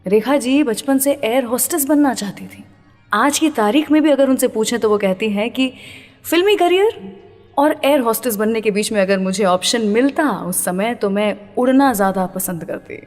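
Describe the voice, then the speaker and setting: A woman radio host with a slightly high voice speaks in a chatty way straight to listeners. Long reverb trails her words, and music plays behind her as she links classic songs. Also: moderate pace, a little mellow. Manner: chatty, addresses listeners directly. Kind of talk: radio presenting between classic film songs.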